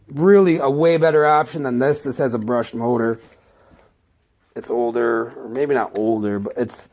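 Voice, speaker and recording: A man with a stuffed-up cold, casually showing off his work tools in a slow, halting, enthusiastic way. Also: mid-range voice, darkish tone. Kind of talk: casual tool walkthrough.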